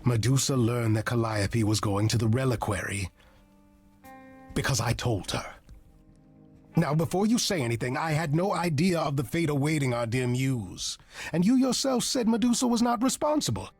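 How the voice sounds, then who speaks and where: Husky, sly, charming god. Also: male voice, medium pitch, lively intonation, moderate pace, soft background music. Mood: defensive. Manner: sly, theatrical, hedging, flowery wording. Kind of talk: video game dialogue acting.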